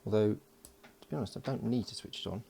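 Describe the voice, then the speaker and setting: A man with a low, gravelly voice talks through his electronics build at a home workbench. He is relaxed and thinks aloud, with plenty of ums and uhs. A faint hiss sits under the camera mic. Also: brisk. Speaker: electronics hobbyist. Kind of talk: casual build narration.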